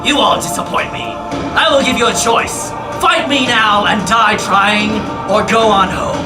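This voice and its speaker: A man's voice: high-pitched, measured pace. Huge bullying ogre boss played by a man, theatrical taunts for a puppet show, roomy sound over music. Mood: scornful, menacing. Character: huge scornful male ogre leader, bullying and boastful. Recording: echoey room, loud music behind.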